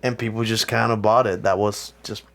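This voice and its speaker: A man's voice: fairly low pitch, flat delivery, a little fast, vocal fry, fairly bright tone. Spanish-accented man in casual podcast chat. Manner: rambling, many restarts, swears casually. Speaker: podcast co-host.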